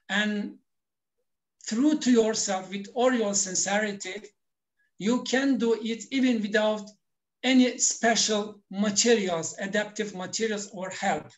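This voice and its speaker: A man, a language teacher speaking deliberate non-native English as he explains his views as an interview guest. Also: high-pitched, poor audio.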